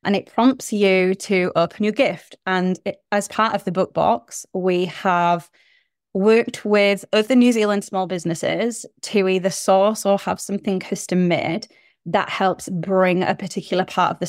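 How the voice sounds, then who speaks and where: A woman's voice: medium pitch, steady tone, a little slow, dry recording, studio quality. A British woman in her thirties, chatting enthusiastically as a podcast guest about her small business. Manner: chatty and enthusiastic, laughs easily. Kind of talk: casual interview chat.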